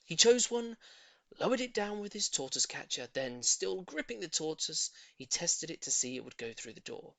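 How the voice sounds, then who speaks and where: A woman reading a children's story aloud as expressive, dramatic narration. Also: fairly low pitch, moderate pace, gravelly, no echo. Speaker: audiobook reader.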